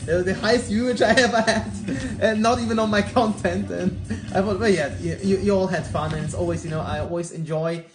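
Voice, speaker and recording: A man's voice: sits high, fairly even intonation, a little fast, few pauses. A man whose first language is Polish, hosting a livestream and commenting casually in a rambling, chatty way. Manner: rambling, chatty, many restarts. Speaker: livestream host. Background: concert music playing.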